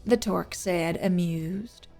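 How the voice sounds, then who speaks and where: A woman's voice: on the low side, slow pace, vocal fry, music in the background. A woman narrating a scripted fantasy story as an audiobook reader.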